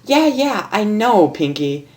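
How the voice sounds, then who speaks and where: A woman's voice: mid-range voice, big pitch swings, slow, darkish tone, pitched above the performer's own voice. A woman voicing a brash, nervous, lovestruck female pony from a cartoon, blurting her words in a flustered, informal way. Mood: impatient.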